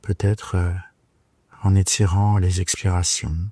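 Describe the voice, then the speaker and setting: A man leading a guided relaxation for sleep as a yoga nidra guide, speaking gently and soothingly with hesitant pauses. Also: low voice, level tone, slightly rough, dry recording. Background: soft plucked guitar music.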